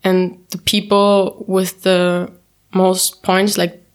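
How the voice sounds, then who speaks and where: A woman's voice: mid-range voice, level tone, takes it slowly. Chatty young woman guest. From Turkey, raised in Austria. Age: young adult. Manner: chatty, laughs often, restarts and quotes herself. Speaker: guest, poetry slammer. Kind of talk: casual interview chat.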